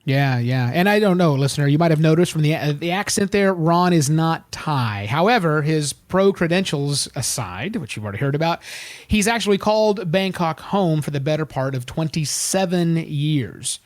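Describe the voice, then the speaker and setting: A man hosts a podcast in a slightly high voice, speaking to the listener directly and steering the talk with self-deprecating asides. He sounds animated. The recording is dry and close. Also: moderate pace, no echo. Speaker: podcast host. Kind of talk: casual podcast interview.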